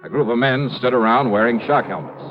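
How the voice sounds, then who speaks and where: An American actor playing a brisk, wry male investigator in a 1950s radio detective drama, with clipped, matter-of-fact delivery. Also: medium pitch, close to an everyday voice. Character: brisk, wry male insurance investigator telling his case. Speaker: lead actor and narrator. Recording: muffled old radio recording with music.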